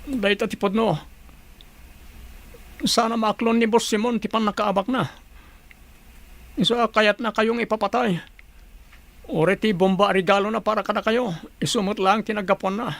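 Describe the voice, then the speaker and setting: A woman playing a wounded, pleading hired assassin with an Ilocano accent in a radio drama, her voice creaky and strained. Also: medium pitch, fairly even intonation, normal speed, long pauses, lightly acted. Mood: confessing. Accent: Filipino, Ilocano.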